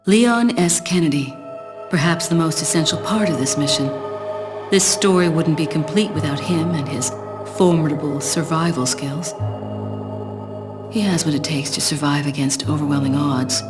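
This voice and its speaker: A woman's voice: low-pitched, normal speed, music in the background, lightly acted. A woman voicing a cool, self-assured female spy in a video game cutscene, composed and slyly teasing. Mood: composed, confident.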